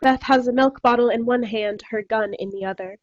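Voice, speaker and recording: Slightly high woman reading play directions, muffled lo-fi call audio, no room sound. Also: a little fast, no room sound. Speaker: stage directions reader. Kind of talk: scripted narration for a play reading. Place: remote staged reading over video call. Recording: dull, dry video-call audio.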